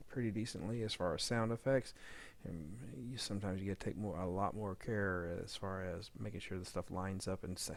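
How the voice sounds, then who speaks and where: A man's voice: fairly low pitch, gravelly. A man talking casually through a sound-editing demo as he works, often muttering to himself. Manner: mumbles file labels to self while working. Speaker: fan audio drama sound editor. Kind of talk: casual screen-recorded editing demo, talking while working. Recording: faint background noise, clicking.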